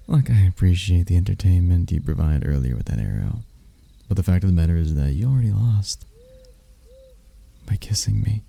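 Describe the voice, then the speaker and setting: A man playing a suave, arrogant demon prince of lust in an intimate ASMR roleplay, with a gravelly, breathy voice and a smooth, taunting manner. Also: sits low, lively intonation, warm tone, full character voice. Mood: mocking, menacing. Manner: smooth-talking, taunting, unhurried, dropping into whispers. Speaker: voice actor.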